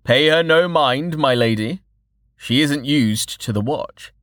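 A man's voice: medium pitch, slow pace, a bit bright, no echo, studio quality, pitched above the performer's own voice. A man voicing a cheerful, wily merchant father in an audiobook, fond but firm, with a coaxing showman's manner. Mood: amused, smooth.

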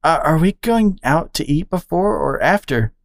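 A woman's voice: low-pitched, expressive, unhurried, no room sound, studio quality, pitched above the performer's own voice. A woman voicing a shy, nervous young female bat who stammers and apologizes. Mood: timid.

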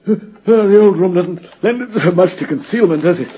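An actor playing a shrewd, sickly-sounding British detective, theatrical and refined with a breathy, husky voice, in an old-time radio drama. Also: male voice, high voice, fairly quick, slight background noise, lightly acted. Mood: urgent, commanding. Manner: theatrical, refined, swinging from murmurs to urgency. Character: shrewd, commanding detective feigning grave sickness. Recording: muffled vintage radio broadcast recording.

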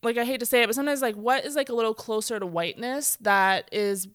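Woman guest, casual and chatty, lots of fillers, smooth voice. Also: fairly high pitch, fast, a bit bright, no echo, clean studio sound. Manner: chatty, many "like" fillers, acts out quoted speech. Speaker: guest speaker. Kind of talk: casual conversation. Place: church stage.

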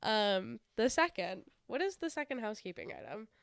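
A chatty woman co-hosting a podcast. Her voice is a bit nasal from a sinus infection, yet it stays very clear and smooth. The sound is dry and close, and she laughs and swears easily. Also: on the high side, sing-song, moderate pace, dry recording. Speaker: podcast co-host. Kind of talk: casual podcast chat.

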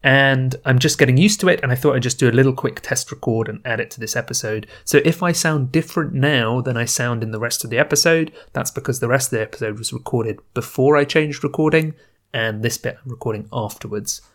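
A man's voice: medium pitch, brisk. A man hosting a podcast, talking casually and thinking aloud into a home microphone setup. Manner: chatty, relaxed, thinking aloud with fillers. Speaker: podcast host. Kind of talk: casual solo podcast intro. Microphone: new home podcast microphone setup.

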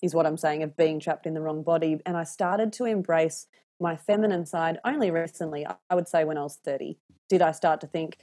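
An Australian woman in her thirties chatting casually and candidly, telling animated stories and swearing freely. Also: low voice, a little fast. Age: 30s. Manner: animated, candid storyteller who swears freely and acts out voices. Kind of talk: casual podcast chat.

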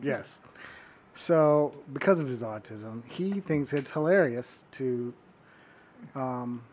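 A man's voice: mid-range voice, animated, slow, a little mellow, slight background noise. A father on a casual podcast telling a story hesitantly and sheepishly. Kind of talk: casual chat.

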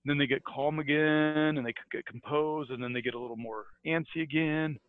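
A man's voice: fairly high pitch, fairly even intonation, brisk, no echo, narrow, tinny audio. An American man co-hosting a casual podcast about a book, chatty and laughing often, with frequent restarts. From United States. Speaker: podcast co-host. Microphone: headphones and mic.

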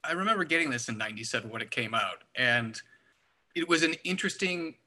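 A man's voice: mid-range voice, expressive, quick pace, pushed and bright. A middle-aged man co-hosting a music podcast, talking casually and analytically with enthusiasm. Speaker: music review podcast co-host. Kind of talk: casual album discussion.

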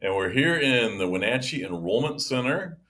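Bright-voiced man, rambling co-host. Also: mid-range voice, expressive, moderate pace. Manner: rambling with many restarts. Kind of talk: casual morning chat. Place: office of an insurance enrollment center.

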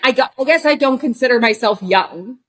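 A woman's voice: on the high side, lively intonation, fairly quick, a little hiss or hum. A woman of forty, a guest on a podcast, talking candidly as an actor and director, searching for words with many fillers and restarts. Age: 40s.

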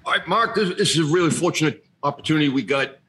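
A man co-hosting a podcast, speaking in an emotional, halting way about an old comrade. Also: fairly high pitch, sharp tone, breathy edge. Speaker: podcast co-host. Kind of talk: conversational tribute.

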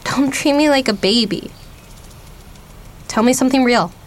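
A woman's voice: high-pitched, moderate pace, close to an everyday voice. An American woman acting a guarded, defiant young girl, wounded but curious, in a naturalistic radio play. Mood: probing, curious.

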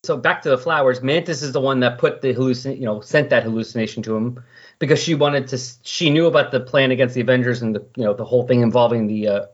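A man with a slightly raspy voice talks in a loose, conversational way. He rambles casually, like someone co-commentating on a hobby podcast. The recording is a little dull and lo-fi. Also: medium pitch, fast talker. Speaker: podcast co-commentator on comic books. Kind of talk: casual chat, recounting comic book plots.

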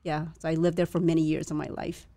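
A woman's voice: deep voice, little pitch movement, fast, mellow, no room sound, clean studio sound. A young woman born to a Chinese family in Vietnam, speaking as an interview guest in a hesitant, reflective way with many restarts. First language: a Chinese dialect. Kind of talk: casual interview.

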